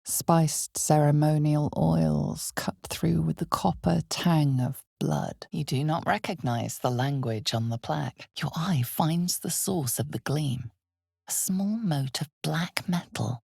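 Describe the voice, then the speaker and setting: Woman, video game narrator, slow deliberate pace, very dry close sound, ominous fantasy storytelling. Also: low-pitched, creaky, mellow, slightly rough, no room sound. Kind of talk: dramatic scripted fantasy narration in second person.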